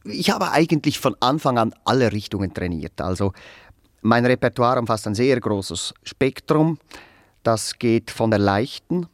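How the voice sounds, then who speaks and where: An actor playing a self-important, evasive educated musician in a radio drama, pedantic and hesitant. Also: male voice, medium pitch, lively intonation, a little slow, no echo, studio quality, lightly acted. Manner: pedantic, hesitant, long-winded. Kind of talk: naturalistic radio drama dialogue.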